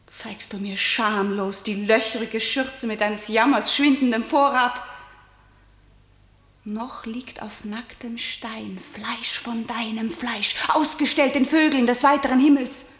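An actress playing a defiant young princess fiercely loyal to her dead brother, speaking classical verse intensely and dropping into hushed whispers. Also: a little high, fairly even intonation, slow. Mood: scornful. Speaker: lead actor in a radio play. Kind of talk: classical verse radio drama. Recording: roomy studio echo.

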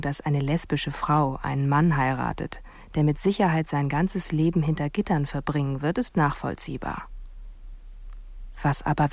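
An actress playing a sceptical police investigator, narrating a reflective, questioning inner monologue in a radio drama. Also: female voice, sits low, darkish tone, no echo.

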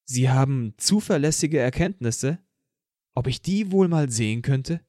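A man playing a cheeky, unfazed teenage student in an amateur audio drama, calm and mock-polite. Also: medium pitch, unhurried, no room sound, studio quality, close to an everyday voice. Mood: cool challenge.

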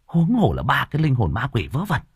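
A cruel old mistress, voiced by a man, hard and contemptuous, her pitch swinging up and down. The voice is husky. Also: huge pitch swings, a little fast, no echo, clean studio sound, heavily acted. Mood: scornful.

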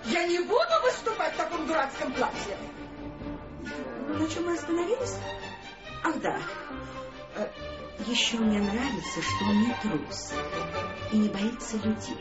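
An actress playing a vain, temperamental opera singer in a radio play, theatrical and capricious. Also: female voice, high voice, expressive, a little slow, long pauses. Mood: agitated, indignant. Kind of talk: Russian radio play acting. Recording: echoey, narrow-band, loud music underneath.

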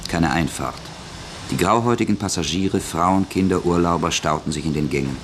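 Deep-voiced male radio-play narrator. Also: moderate pace, a little hiss or hum.

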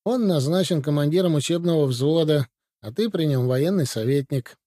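A brisk, commanding Soviet officer, read by a man in a matter-of-fact way. The tone sits a touch high yet mellow, and the recording is dry and close. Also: no room sound, close to an everyday voice. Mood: briefing. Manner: matter-of-fact briefing delivery. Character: male Soviet military adviser, brisk and commanding. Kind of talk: audiobook reading.

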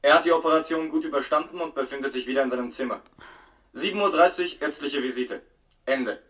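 An actor playing an institution's impersonal wake-up announcer in a radio play, clipped and commanding. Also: male voice, a little high, quick pace, fairly bright tone, lightly acted. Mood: impersonal, brisk. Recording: distant from the microphone.

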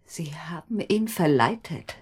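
An actress playing a worried, stubborn wife in a radio drama, speaking insistently and intensely with a husky, breathy voice. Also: female voice, low voice, lively intonation, measured pace, exaggerated voice. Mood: suspicious, determined. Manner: insistent, intense, dropping into hushed tones. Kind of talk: German radio drama acting.

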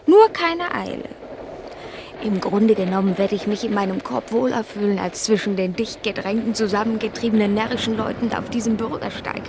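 A woman's voice: mid-range voice, fairly quick, background noise, natural voice. A woman voicing a haughty, grumbling, melancholy pet cat in a theatrical, self-dramatizing radio play manner.

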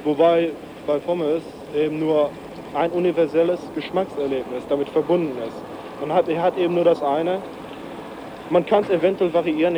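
Man, higher register, everyday talker with a pedantic turn of phrase, recorded on noisy old cassette. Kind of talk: casual reflective opinion in an audio collage.

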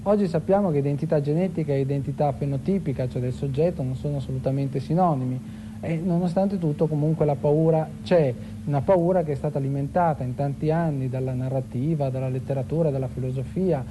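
Lecturing man, slightly high, noisy background. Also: fast talker. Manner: articulate, lecturing. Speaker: expert commentator on biotechnology. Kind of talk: explanatory interview talk.

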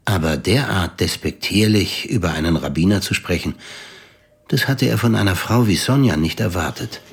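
A grave male storyteller narrating an audio drama. His voice is very low and husky, and he delivers scripted literary prose with composure. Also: lively intonation, normal speed, full character voice. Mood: grave, composed.

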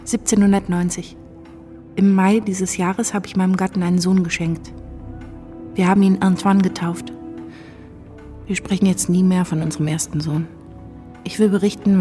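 A woman's voice: lowish voice, warm tone, close to an everyday voice. An actress playing a composed wife and mother who narrates revolutionary times in a radio drama.

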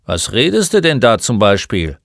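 A man playing a gruff, mocking old shopkeeper in a storybook, with a blunt, grumbling manner. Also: lowish voice, very animated, normal speed, dry recording, clean studio sound. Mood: probing. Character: gruff, mocking old male bookshop keeper. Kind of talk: storybook character voice.

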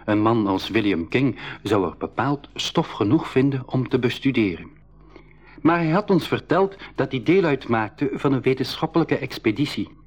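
An actor playing an inquisitive boy adventurer who tells his story in a school radio drama, in an earnest, measured way. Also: mid-range voice, expressive, close to an everyday voice. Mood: reflective. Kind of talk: scripted narration and dialogue in 1980s school radio drama.

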